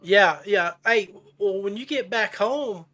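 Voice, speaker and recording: Man, podcast host, casual chat, slightly high-pitched voice. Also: slow, pushed and bright.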